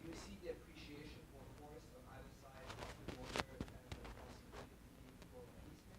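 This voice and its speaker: A man's voice: mid-range voice, steady tone, fairly quick. Distant man asking from the audience. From Switzerland. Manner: polite, apologetic phrasing. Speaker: audience member asking a question. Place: lecture hall audience. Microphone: far from the microphone. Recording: distant, echoey hall with loud noise.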